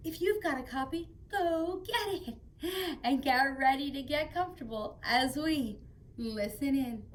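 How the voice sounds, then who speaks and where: Woman playing Calliope Cosmos, high-voiced excited kids' host. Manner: enthusiastic, addressing young viewers directly. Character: Calliope Cosmos, excited friendly children's show character. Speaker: children's show host. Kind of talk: scripted children's show presenting. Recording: distant mic, faint background noise.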